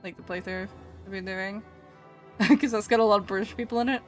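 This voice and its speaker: A woman's voice: mid-range voice, steady tone, brisk. A woman from Scotland with a mixed, Canadian-leaning North American accent, chatting casually and in a giggly way as a livestreamer. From Edinburgh, Scotland. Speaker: streamer. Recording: music behind the voice. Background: background music.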